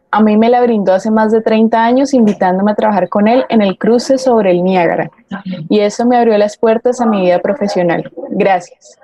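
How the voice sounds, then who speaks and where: A woman with a mellow, warm tone, recorded close and dry. She co-hosts a forum, reading out comments sent in by the audience. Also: mid-range voice, no room sound.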